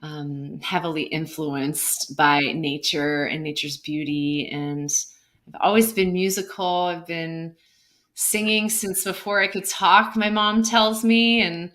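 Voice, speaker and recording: A woman musician from California talking in a gentle, earnest way over a video call, laughing easily. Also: fairly low pitch, fairly even intonation, slow. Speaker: musician guest. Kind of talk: singing then casual chat. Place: home, at a window with trees outside.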